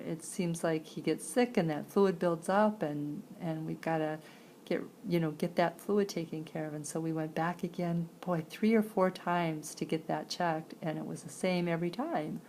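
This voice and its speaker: Woman, lowish gravelly voice, conversational personal storytelling in halting phrases, faint background noise. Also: steady tone, a little mellow. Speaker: sign-to-voice interpreter.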